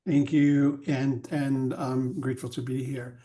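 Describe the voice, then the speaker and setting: A man's voice: medium pitch, flat delivery, a little slow, mellow. A man, a spiritual counselor, speaking on a video call and asking questions with many repeated words. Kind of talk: casual talk on a video call.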